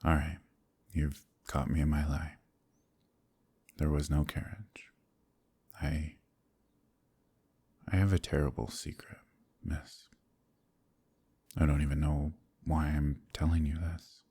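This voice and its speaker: A man acting a courteous, brooding werewolf in human form, with a gravelly, husky voice and halting, old-fashioned speech in a dramatic ASMR roleplay. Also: rumbling low, level tone, mellow, full character voice. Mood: confessional, somber. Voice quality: gravelly, husky, breathy at times. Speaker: voice actor in an audio roleplay.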